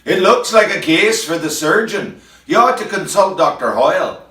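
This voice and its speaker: A man's voice: high voice, animated, moderate pace, sharp tone, roomy sound, far from the mic, pitched above the performer's own voice. A man voicing a blunt, emphatic whiskered professor in a recited comic ballad.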